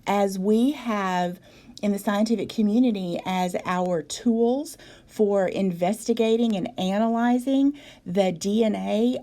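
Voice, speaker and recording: A woman from the South, a biology instructor giving a recorded video lecture in a voice hoarse from a cold, measured and explanatory with frequent ums. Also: a little high, dark tone. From American South.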